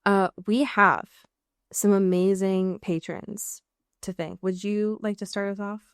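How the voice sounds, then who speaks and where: American woman chatting on a comedy podcast, mock exasperated, stretches words for emphasis, mid pitch, really dry sound. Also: flat delivery, a little slow, clean tone, no room sound. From US Pacific Northwest. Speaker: podcast co-host. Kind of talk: casual comedic podcast chat.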